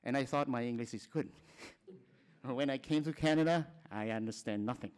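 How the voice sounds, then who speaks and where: Smooth-voiced man from Cambodia, halting. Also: mid-range voice, darkish tone. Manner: plain, halting talk with frequent restarts and short laughs. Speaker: conference speaker. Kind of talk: personal testimony at a conference.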